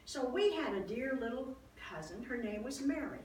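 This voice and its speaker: Echoey, high-voiced woman acting an aging priest's wife. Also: animated, a little mellow, lightly acted. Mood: tender, reflective. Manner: chatty, folksy, halting, emphatic. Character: warm, wistful aging wife of ancient Judean priest. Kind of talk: first-person dramatized Bible storytelling to an audience. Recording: echoey room, distant mic, faint noise.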